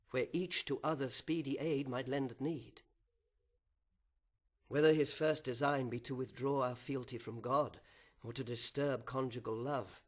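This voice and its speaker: Male actor as tender cautious husband, unhurried delivery, mid-pitched, earnest, dramatic poetry reading. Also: natural voice. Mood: earnest concern. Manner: measured, gentle, earnest. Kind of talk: slow dramatic verse reading.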